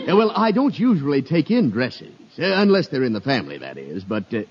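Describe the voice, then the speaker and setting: An actor playing a wry, unhurried pawnbroker with droll, drawn-out speech in a 1950s radio comedy. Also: male voice, medium pitch, wildly swooping pitch, slightly rough, a faint crowd. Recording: muffled, very noisy 1950s radio, live audience.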